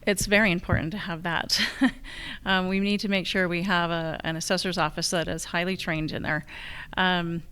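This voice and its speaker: Lady candidate at a forum, really smooth lowish voice, kinda halting answers. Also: flat delivery, fairly bright tone. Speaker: challenger candidate for county assessor. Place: live public forum on a college campus.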